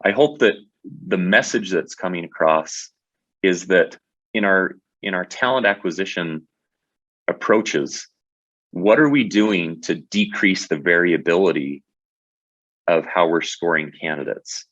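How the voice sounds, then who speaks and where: A man's voice: low voice, unhurried, fairly bright tone, no room sound, lo-fi sound. A man presenting a webinar in a conversational way, often restarting his sentences. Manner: conversational, with many fillers and restarts.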